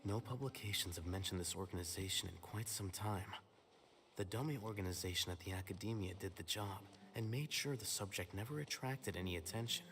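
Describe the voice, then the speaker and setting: A man playing an aloof, bookish young scholar in a fantasy video game, speaking in a measured deadpan. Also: low-pitched, slight background noise. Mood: musing.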